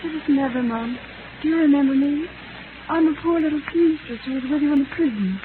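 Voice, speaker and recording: An actress playing a frail, timid young seamstress who is trying to be brave, plaintive and earnest, in a noisy 1930s radio drama. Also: female voice, high voice, fast talker, narrow, tinny audio, lightly acted. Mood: timid, pleading.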